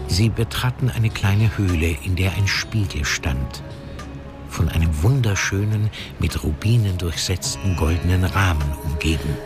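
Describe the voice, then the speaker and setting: A male storyteller narrates a fantasy radio drama. His very deep voice moves slowly and deliberately, with loud music underneath.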